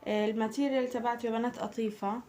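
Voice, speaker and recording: Hoarse young Palestinian woman vlogger. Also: a little high, steady tone, very fast, warm tone, a little hiss or hum. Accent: Palestinian Arabic. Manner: chatty, addresses viewers as girls. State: slightly hoarse from a cold. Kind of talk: casual shopping haul chat.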